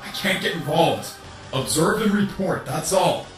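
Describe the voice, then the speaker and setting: A man's voice: fairly high pitch, animated, unhurried. A man voicing a secretive, fretful hidden observer muttering to himself in a comic dub. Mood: watchful. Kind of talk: amateur comic dub voice acting. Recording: roomy, distant, music behind.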